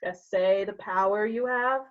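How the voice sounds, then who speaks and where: Woman playing a persuasive gentleman, muffled lo-fi sound. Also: medium pitch, a little slow, close to an everyday voice. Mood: urgent, coaxing. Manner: urging, persuasive. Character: brash, persuasive gentleman urging on a friend. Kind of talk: Shakespearean staged reading.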